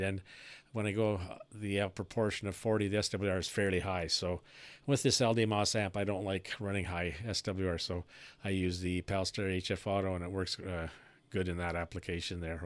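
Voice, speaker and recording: A man hosting a casual amateur radio chat, talking technical shop in a halting, rambling way into a close studio microphone. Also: low-pitched, no room sound. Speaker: amateur radio operator and live-stream host. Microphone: close studio broadcast microphone.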